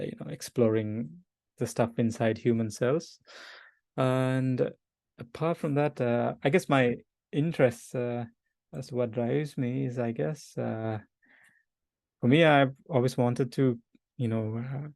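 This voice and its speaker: Sri grad student, 30s, on a video call, fills pauses with "uh", mellow and a little creaky. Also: male voice, medium pitch, dry recording. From Sri Lanka. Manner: hesitant, many fillers, laughs often. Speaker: PhD student guest. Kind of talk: casual self-introduction.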